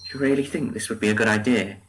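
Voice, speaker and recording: A reflective, ailing man played by an actor speaks in a fairly low voice over faint birdsong. His delivery is quiet and hesitant, in naturalistic radio play style. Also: rapid-fire, lightly acted, poor audio. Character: terminally ill man, reflective, grateful stranger. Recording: faint outdoor noise with birdsong.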